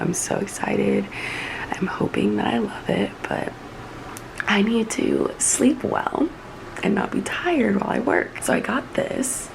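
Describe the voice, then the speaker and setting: A woman's voice: a little high, vocal fry, background noise. A woman in her twenties chatting casually through her day as a vlogger, upbeat and full of fillers, filmed on a handheld phone camera. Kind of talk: casual day-in-the-life vlog chat.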